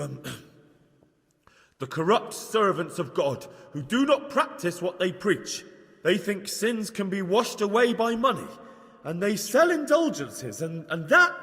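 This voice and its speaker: A man playing an earnest, unsure young layman who preaches in a declamatory but halting way in a video game. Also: high-pitched, a bit bright, exaggerated voice. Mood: fervent, faltering. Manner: declamatory but halting, stammering when flustered. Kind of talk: video game acting, improvised sermon. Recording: reverberant church hall.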